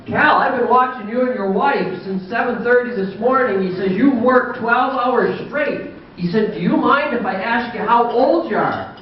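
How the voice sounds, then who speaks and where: An older man in his sixties, with a fairly high voice for a man, tells unhurried, folksy stories with dry humor at a banquet. He is heard from a distance through a muffled hall mic, with a strong echo in the room. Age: 60s. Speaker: business owner giving a banquet speech. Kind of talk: anecdotal after-dinner speech.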